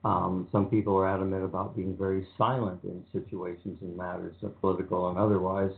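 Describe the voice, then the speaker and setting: An older man hosting a radio show, talking in a relaxed, rambling monotone with many pauses and ums. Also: sits low, vocal fry. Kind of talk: casual radio talk. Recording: phone-like narrow sound.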